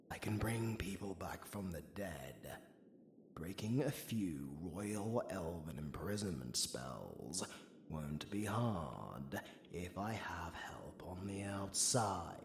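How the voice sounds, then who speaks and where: A low, gravelly British necromancer , acted by a man, long dead and full of sarcasm and flirtation. His delivery is slow and theatrical, swinging widely in pitch, as in an intimate fantasy audio drama. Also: reverberant, slight background noise, full character voice. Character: sardonic, flirtatious undead male necromancer villain, long entombed. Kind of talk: intimate audio roleplay acting, addressed to the listener.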